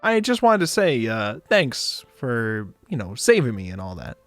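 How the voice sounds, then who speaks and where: A man voicing an awkward, grateful male spaceship passenger, halting and self-conscious, in a visual novel reading. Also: mid-range voice, wildly swooping pitch, fairly bright tone, no echo, full character voice. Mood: awkwardly grateful. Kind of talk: visual novel read aloud. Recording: dry close mic, background music.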